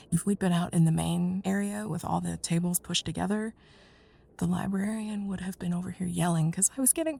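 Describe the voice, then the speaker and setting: A woman playing a young student in love with her best friend, in an intimate ASMR roleplay, speaking softly and hesitantly, often near a whisper. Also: lowish voice. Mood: nervous, hopeful. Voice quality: soft breathy, husky, often near whisper. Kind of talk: intimate ASMR roleplay, one side of a dialogue.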